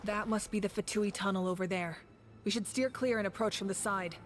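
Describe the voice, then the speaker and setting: A woman playing a level-headed, watchful adventurer in a video game, speaking in a measured, explanatory way. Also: medium pitch, fairly even intonation, faint music behind, lightly acted. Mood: calm, alert. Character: level-headed, watchful female adventurer giving tactical advice. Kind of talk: video game dialogue acting.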